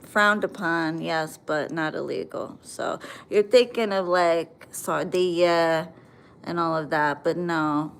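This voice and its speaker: A woman's voice: on the low side, fairly even intonation, takes it slowly, gravelly, a bit bright, clear, resonant tone. An American woman chatting bluntly on a livestream, reading viewer comments and answering them with plenty of swearing. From United States. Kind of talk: casual livestream chat.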